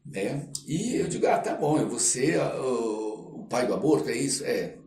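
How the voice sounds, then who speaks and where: An older Brazilian man with a mid-range voice speaks casually, as if reminiscing on a podcast. He is halting and reflective in his storytelling. The sound is roomy, with the microphone some distance away. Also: medium pitch, expressive, gravelly, darkish tone. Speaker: interview guest, actor and screenwriter.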